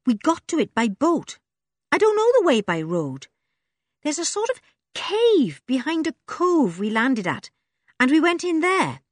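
A woman's voice: high voice, wildly swooping pitch, measured pace, no room sound, clean studio sound, voice pushed up, heavily acted. A woman doing a scruffy blunt young girl.

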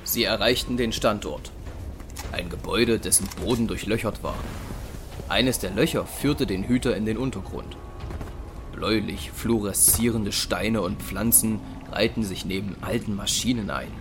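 A man narrating a science fiction radio drama in a measured, solemn, scripted style. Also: fairly low pitch, noisy background. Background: music.

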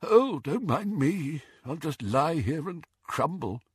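Storybook gargoyle, performed by a man: broken stone head, mournful, feeble moan, self-pitying, husky edge. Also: fairly high pitch, very animated, slow, dry recording, clean studio sound, pitched above the performer's own voice, exaggerated voice.

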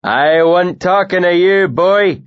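A man voicing a loud, swaggering, bearded male mercenary who bullies with a thick northern accent, drawing out his taunts. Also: a little high, dry recording, highs cut off, pitched above the performer's own voice, natural voice. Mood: menacing. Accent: northern English dialect, put on. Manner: mocking, swaggering, drawn-out.

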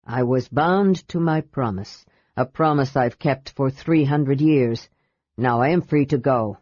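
A woman voicing a grave, wise old male gold dragon who speaks slowly and sternly. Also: rumbling low, a little mellow, breathy edge, dry recording, lo-fi sound, pitched below the performer's own voice, exaggerated voice. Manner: stern, grave, slow and measured.

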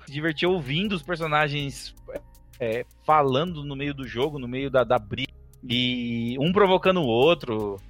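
A man's voice: medium pitch, fairly bright tone. A man with a Brazilian Portuguese accent chatting casually about video games, often stumbling over and repeating his words. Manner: hesitant, repeats and restarts words, laughs often. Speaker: gaming podcast co-host. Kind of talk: casual chat.

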